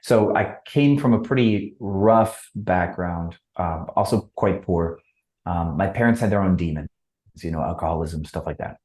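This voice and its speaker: A man from Wisconsin, a podcast guest, telling his story in a relaxed, reflective way with fillers. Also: low voice, a little slow, roomy sound. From Wisconsin, USA. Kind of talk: casual interview chat.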